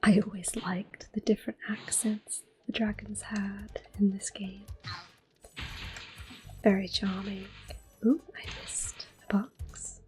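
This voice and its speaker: A woman whispering close to the mic as she calmly plays through an old game and recalls it fondly. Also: medium pitch, slow, poor audio. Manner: soft whispering, gently nostalgic. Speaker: ASMR gameplay host. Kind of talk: whispered ASMR game commentary. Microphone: close sensitive mic. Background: faint game music.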